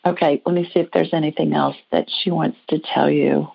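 A woman's voice: deep voice, steady tone, brisk, vocal fry, breathy edge. A woman with an American accent hosting a call-in psychic show, talking in a chatty, informal, reassuring way on lo-fi audio. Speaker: host, psychic call-in reader. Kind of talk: conversational call-in show readings. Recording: dull lo-fi audio.

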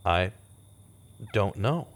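A man's voice: fairly low pitch, lively intonation, very slow. A man playing an earnest investigator in a horror audio drama, with grave, dramatic delivery as he hunts a killer. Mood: guarded. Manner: grave, dramatic inner narration. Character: earnest male investigator hunting a killer in monster-haunted woods. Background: loud music.

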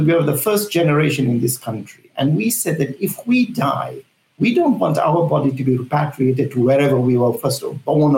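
A man's voice: medium pitch, a little mellow. Earnest older man, echoey room. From Zambia. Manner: earnest, halting with frequent fillers. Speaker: guest, cemetery founder. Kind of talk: conversational interview answers.

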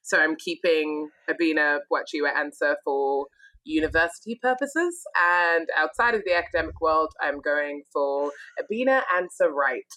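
A woman's voice: low voice, moderate pace, pushed and bright, dry recording. A young adult woman, a guest chatting cheerfully and laughing easily on a podcast. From UK, born in Scotland and raised in northern England. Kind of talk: casual podcast conversation.

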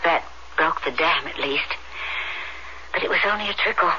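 A fretful middle-aged mother, confides earnestly in a piercingly bright voice. She is theatrical and resigned, on a muffled old radio drama recording with faint noise. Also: sits low, normal speed. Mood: troubled, resigned.

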